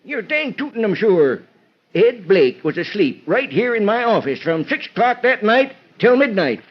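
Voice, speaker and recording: An actor playing a crusty, folksy old small-town constable with a cantankerous rural American drawl in a radio drama. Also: male voice, high-pitched, very animated, normal speed, a little hiss or hum. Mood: feisty. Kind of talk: 1940s radio acting. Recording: dull vintage radio recording.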